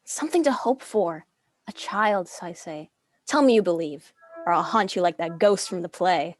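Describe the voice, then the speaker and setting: A woman's voice: fairly low pitch, moderate pace, dry recording, studio quality, natural voice. A woman playing a playful, yearning young noblewoman of feudal Japan in a dramatic script reading. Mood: wistful, pleading.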